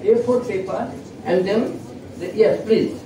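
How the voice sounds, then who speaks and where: A soft-spoken male chemistry professor with an Indian English accent, giving a classroom lecture in a halting way with many fillers and self-corrections. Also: sits high. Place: lecture hall. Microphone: fixed microphone at a distance. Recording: echoey hall, distant mic, noisy.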